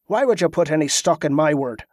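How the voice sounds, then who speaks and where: Man doing gruff soldier guy, clipped rustic talk, bit high, dry sound. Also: expressive, a little fast, a little mellow, dry recording. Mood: growling. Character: gruff young male soldier acolyte.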